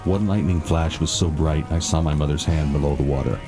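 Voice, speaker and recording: A man acting as a brooding narrator, telling a haunted childhood memory in dramatic, measured, scripted style. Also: extremely low pitch. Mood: somber, haunted. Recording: loud music behind.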